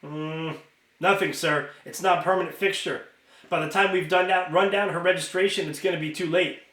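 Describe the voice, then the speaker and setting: A man's voice: on the high side, steady tone, moderate pace, sharp tone. A man voicing a centaur tech expert in a storybook, a matter-of-fact underling with a smug, sulky edge. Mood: hesitant. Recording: roomy, echoing home recording.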